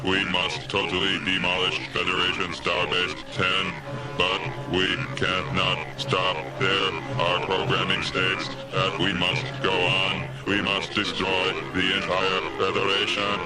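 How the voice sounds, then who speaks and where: A man playing a huge steel robot leader, speaking in a mechanical, deliberate, relentless way in a seventies audio drama. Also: low-pitched, completely flat delivery, loud music behind the voice. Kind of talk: 1970s audio drama acting.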